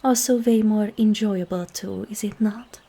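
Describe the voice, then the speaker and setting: A woman playing a seductive, regal demon queen in an ASMR roleplay, calm and commanding, with slow, formal speech that often drops into breathy whispers. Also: medium pitch. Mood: persuasive, regal. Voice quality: breathy, husky.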